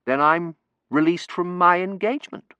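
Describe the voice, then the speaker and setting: A man's voice: sits high, normal speed, bright, forceful tone, clear, resonant tone, no room sound, lo-fi sound, pitched above the performer's own voice. Guy doing a slightly raised young victorian gent, eager, dry close sound. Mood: relieved.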